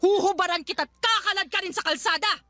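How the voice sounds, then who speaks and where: A woman's voice: very high-pitched, fairly quick, bright, forceful tone, faint music behind. A woman playing a domineering, quarrelsome middle-aged mother in a Filipino radio drama, scolding and confrontational as she defends her grown son. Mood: indignant, menacing. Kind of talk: Tagalog radio drama acting.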